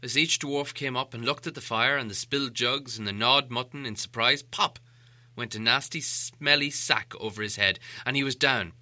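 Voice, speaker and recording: A man reading a storybook aloud as an animated audiobook narrator. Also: medium pitch, normal speed.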